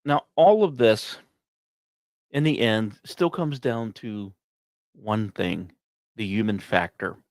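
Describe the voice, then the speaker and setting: A man hosting a podcast, reading scripted space and science narration with occasional asides, in dramatic storytelling phrases broken by short pauses. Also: fairly low pitch, no echo. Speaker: podcast host.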